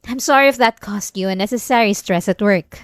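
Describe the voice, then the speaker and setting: A woman playing an obsessive, possessive, delusional wife in an intimate ASMR roleplay, coaxing and wounded, with sighing pauses. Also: mid-range voice, no room sound, clean studio sound, natural voice. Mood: defensive, jealous.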